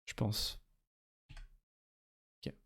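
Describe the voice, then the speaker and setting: Tired, rambling young man streaming a game. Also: a bit bright. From Normandy, France. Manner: rambling, chatty, reads chat aloud, reads game text haltingly. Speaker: let's play streamer. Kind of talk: casual chat while streaming a game.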